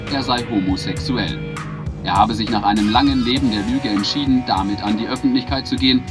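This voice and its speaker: Casting: actor as male radio newsreader, sober and formal, lowish voice over clear music, radio drama. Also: natural voice. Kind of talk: formal scripted news reading.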